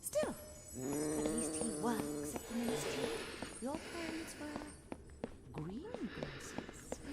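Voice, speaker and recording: A woman playing a prim, cruel, sugary middle-aged government inquisitor, condescending and mock-sweet, in a noisy echoing hall. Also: high-pitched. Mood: menacing, contemptuous. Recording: echoing hall, loud background noise.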